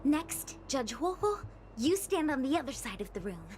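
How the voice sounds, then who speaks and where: A woman's voice: high-pitched, sing-song, moderate pace, music in the background. A woman voicing a cheeky, easygoing young diviner girl in a video game, casual and confident. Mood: confident, bossy. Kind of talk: anime-style game cutscene acting.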